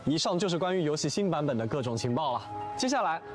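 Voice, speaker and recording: A man's voice: fairly high pitch, animated, fast talker, over music. A man presenting a scripted promotional broadcast in a polished, upbeat way. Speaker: announcer.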